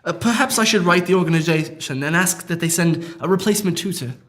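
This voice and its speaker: Man playing formal tutor, accented, polite, solemn, high voice. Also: fast, a bit bright.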